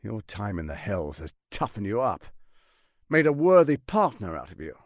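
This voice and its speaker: An actor playing a scheming, arrogant middle-aged tyrant lord in a video game, with a pompous, silky, menacing delivery. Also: male voice, lowish voice, big pitch swings, moderate pace, dry recording, studio quality. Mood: persuasive, grandiose. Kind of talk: theatrical video game voice acting.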